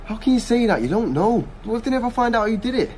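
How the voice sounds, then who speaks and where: An actor playing a distressed, angry teenage son in a naturalistic radio drama. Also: male voice, very high voice, big pitch swings, fast talker, a little mellow, a little hiss or hum. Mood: distraught, angry.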